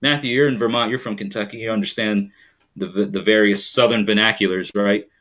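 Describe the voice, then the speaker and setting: A man from rural upstate New York hosts a casual live stream in a lowish voice. He rambles chattily, drops plenty of ums and talks straight to his viewers as he reads their comments. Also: steady tone, a little fast, a bit bright.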